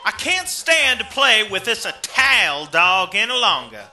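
A man's voice: sits high, big pitch swings, unhurried, bright, forceful tone, soft background music, exaggerated voice. A man playing a hot-tempered, blunt and domineering husband with a brash, coarse manner in an amateur radio play. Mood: irritated, brash.

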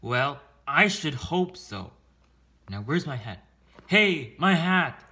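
A man's voice: mid-range voice, big pitch swings, takes it slowly, sharp tone, pitched above the performer's own voice, heavily acted. A man voicing an angry, self-important town mayor in a children's storybook read-aloud, blustering and pompous. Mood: blustering anger.

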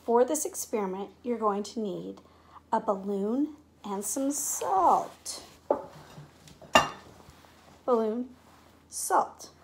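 A middle-aged woman teacher speaking in simple, clear phrases to young children, as in a read-aloud or classroom demonstration. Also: on the high side, drawn-out words, long pauses, background noise. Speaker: teacher making videos for pupils. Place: indoors at home, living room and kitchen. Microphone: device mic at about arm's length.

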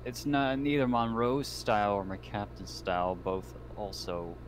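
A man's voice: fairly low pitch, measured pace. A man chatting casually in and out of character as a tabletop game player, on a noisy home microphone. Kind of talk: casual in-character roleplay chat.

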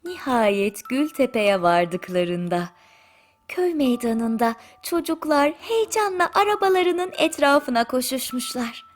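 Slow, high-voiced woman telling bedtime stories. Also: lively intonation. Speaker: bedtime story narrator. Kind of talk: scripted bedtime fairy-tale narration for children. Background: music.

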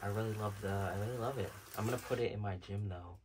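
A man's voice: lowish voice, quick pace. A young man in his twenties talks loosely to his camera while setting up a home gym, with lots of fillers and casual swearing. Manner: rambling, loose and cursing, with many fillers. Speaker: vlogger. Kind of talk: casual chat. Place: small home gym and room with colored lights, indoors. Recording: clicks and clear room noise.

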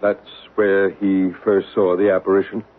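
A man playing an assured, inquisitive paranormal investigator in a 1970s radio drama, with a measured, formal manner. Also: sits low, monotone, lightly acted. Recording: dull lo-fi 1970s radio broadcast.

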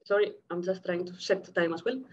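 A woman in her thirties with a Greek accent, from Greece, reading a conference paper quickly over a video call. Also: fairly low pitch. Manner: fast-talking, checks in with the audience. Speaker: academic speaker. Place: home room, indoors. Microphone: laptop webcam mic, video call. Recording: muffled lo-fi video call audio.

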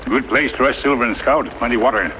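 A man's voice: mid-range voice, fast talker, lightly acted. Man acting loyal western sidekick, terse broken English, grave, reverberant, hissy 1950s radio drama. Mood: grave, steady. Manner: terse, clipped broken English with dropped words. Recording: muffled, hissy old radio recording, reverberant.

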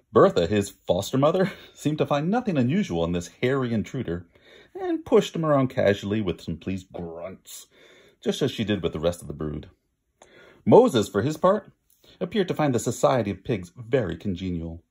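Male storybook reader: very lively intonation, slightly raspy tone, conversational asides to the listener. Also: mid-range voice. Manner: pauses to chat with listeners and explain words. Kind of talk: animated read-aloud storytelling.

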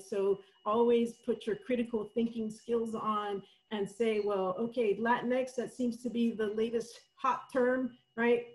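A woman's voice: mid-range voice, a little mellow, poor audio. Salvadoran-born woman presenting a webinar. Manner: thoughtful, frequent fillers and restarts, asks "right?" often. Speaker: college professor presenting survey findings.

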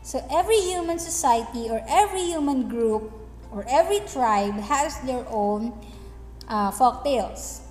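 A woman teacher with a high, lively voice gives an instructive lesson. She speaks slowly and deliberately in a reverberant space with faint music. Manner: deliberate, instructive, with check-in questions. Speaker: English teacher. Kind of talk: explanatory lesson with storybook reading. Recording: echoey hall-like room, faint music behind.

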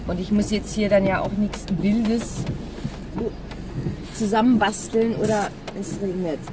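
A woman's voice: mid-range voice, fairly even intonation, measured pace. A woman chats casually and frankly to camera while driving, rambling and thinking aloud. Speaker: vlogger. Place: inside a moving car. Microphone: camera on the dashboard. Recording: loud car road noise, distant mic. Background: engine and road noise.

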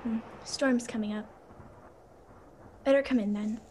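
Woman acting a blunt, high-voiced servant, noisy recording. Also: steady tone, unhurried, natural voice. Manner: blunt, colloquial servant's speech. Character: gruff, grumbling maid at a sanitarium. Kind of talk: radio play acting.

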